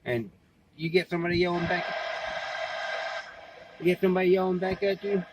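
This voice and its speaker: Male American vlogger, slightly high-pitched, folksy and plain-spoken, rambling casually with repeated phrases over clearly audible noise. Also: flat delivery, a little fast. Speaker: vlogger and CB radio hobbyist. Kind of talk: casual rambling talk to camera. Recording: clearly noisy background, amateur recording.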